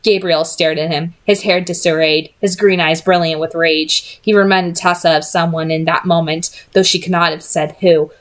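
A woman's voice: on the low side, steady tone, moderate pace, hardly any pauses. Young woman reading a novel aloud. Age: young adult. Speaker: amateur book reader. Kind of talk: read-aloud of a fantasy novel. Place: small home room, indoors.

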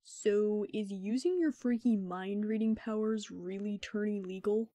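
A woman playing a sarcastic, laid-back young man with a deadpan, wry manner in a fan fiction podcast. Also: mid-range voice, a little slow, no room sound, close to an everyday voice. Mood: needling.

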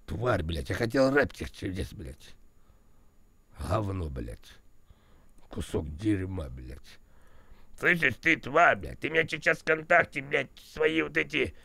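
Low fry-y streamer guy with a cold, cursing a lot, dry close sound. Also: very animated, takes it slowly, pushed and bright, dry recording. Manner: crude, swearing, berating chat and ads, then mumbling goodbyes. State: has a cold. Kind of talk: casual live-stream chat.

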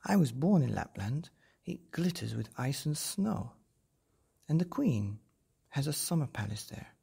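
A man's voice: mid-range voice, sing-song, a little slow, gravelly, dark tone, husky, voice pushed up, exaggerated voice. Old reindeer, read by a man. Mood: fond. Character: old gentle male reindeer.

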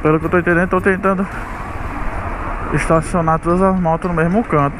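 A man's voice: high voice, little pitch movement, fairly quick. A young man, chatting casually to his viewers while riding a motorcycle at night, recorded on a helmet camera. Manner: chatty, friendly, calls viewers family. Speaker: motovlogger host. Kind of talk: casual motorcycle vlog narration. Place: riding a motorcycle on a city beachfront road at night. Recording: traffic and wind noise outdoors.

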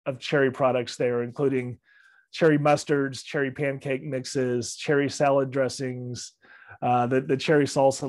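A man's voice: mid-range voice, a little mellow, dry recording. Friendly man presenting over a webcam. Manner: friendly, loose, with fillers and restarts. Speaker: library travel club presenter. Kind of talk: slide presentation talk. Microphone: video call webcam.

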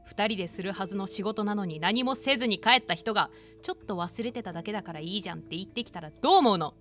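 A woman's voice: medium pitch, animated, fast talker, fairly bright tone, soft background music, natural voice. Woman as a firm primary school teacher. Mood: stern. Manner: firm, instructive, polite. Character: strict but encouraging female primary school teacher. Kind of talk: voice drama acting.

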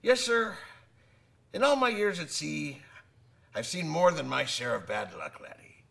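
A man playing a weathered, kindly old sea captain in a radio drama, with a gravelly voice and folksy, salty sailor talk. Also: on the high side, sing-song, a little slow, fairly bright tone, exaggerated voice. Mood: weary, fatherly. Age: older. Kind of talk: theatrical radio drama acting.

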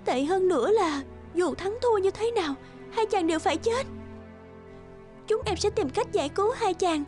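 A woman voicing an anguished young princess in an animated fairy tale, urgent and pleading. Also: extremely high pitch, moderate pace, soft background music, voice pushed up. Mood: distressed, urgent.

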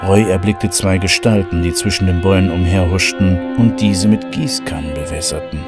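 A man's voice: low-pitched, normal speed, over loud music, natural voice. A man narrating an eerie audio drama as its scripted storyteller. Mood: ominous.

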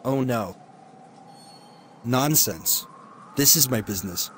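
A greedy witch doctor scolds and bullies in a middle-pitched male voice, as a man puts him on. He threatens more than he explains, with faint ambience behind. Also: animated, slow pace, a bit bright, a little hiss or hum. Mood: threatening. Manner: scolding, menacing.

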